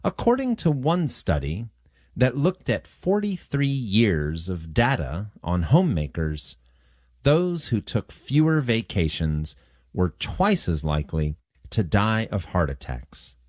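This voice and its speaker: A man with a lowish voice reads scripted passages at a very slow pace. His delivery is careful and measured, with plenty of rise and fall in the pitch. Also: no echo, clean studio sound. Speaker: narrator of test practice passages. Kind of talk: scripted read-aloud narration.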